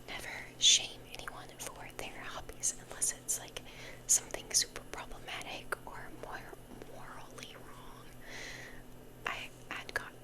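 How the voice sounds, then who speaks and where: A young woman whispering in a soft, breathy voice, rambling and repeating phrases as in an ASMR unboxing. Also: rough recording. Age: young adult. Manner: soft, repeats phrases slowly, chatty over-explaining. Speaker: ASMR content creator.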